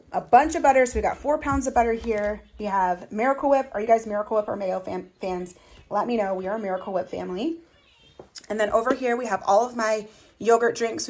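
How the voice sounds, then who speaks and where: A young woman vlogging casually in her home kitchen, chatty and upbeat with a slightly hoarse voice. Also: medium pitch, a little hiss or hum. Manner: chatty, upbeat, lots of fillers. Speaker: family vlogger. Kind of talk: casual chat to camera.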